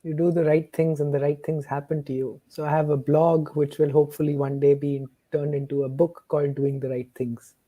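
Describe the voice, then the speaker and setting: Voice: medium pitch, little pitch movement, brisk, few pauses, narrow, tinny audio. A panel guest giving conversational answers in a discussion. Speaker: panelist, employer.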